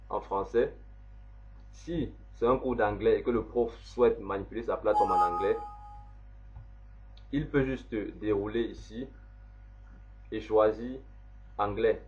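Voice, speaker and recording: A man, slowly walking through a software setup step by step as an instructor. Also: on the low side. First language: French. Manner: slow, step-by-step explaining. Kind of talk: screen-recorded software tutorial. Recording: dull lo-fi voice recording.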